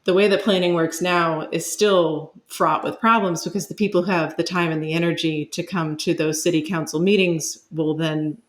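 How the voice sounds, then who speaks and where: Lower-voiced woman explaining as a guest expert. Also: fairly even intonation, moderate pace, few pauses. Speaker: interview guest, urban science expert. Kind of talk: conversational expert interview.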